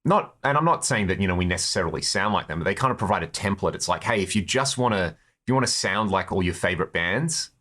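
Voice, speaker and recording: A man's voice: sits low, animated, fast, pushed and bright. A man with an Australian accent chatting as an interview guest, telling stories about his own experiences in a conversational way. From Perth, Australia. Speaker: interview guest, guitarist in a touring band.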